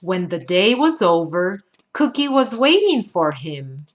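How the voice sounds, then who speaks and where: A woman's voice: on the low side, sing-song, a little slow, lo-fi sound. A woman who is a native Spanish speaker, narrating a children's read-aloud storybook. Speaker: storyteller reading to young children.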